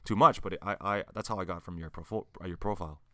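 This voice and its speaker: A man's voice: sits low, fast talker, fairly bright tone, dry recording, clean studio sound. A man hosting a casual interview chat, laid-back and stumbling over words, calling his guest "man" and "dude". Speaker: podcast host. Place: city in Alberta, Canada.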